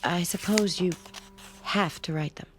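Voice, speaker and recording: An actress playing an anxious, grieving housewife who is possessively tender, speaking in a hushed, halting, strained way in a radio drama. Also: female voice, lowish voice, background noise, natural voice. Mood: uneasy, guarded. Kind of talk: naturalistic radio drama acting.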